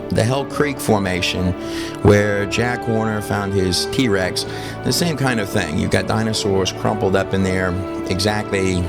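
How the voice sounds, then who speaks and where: A man hosting a documentary, narrating and interviewing in deliberate, halting phrases. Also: fairly low pitch. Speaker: host and interviewer.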